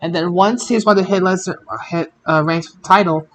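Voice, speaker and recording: A podcast host recapping wrestling results casually, in a halting way that often repeats phrases. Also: mid-range voice, fairly even intonation, fairly bright tone. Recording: noisy amateur podcast audio.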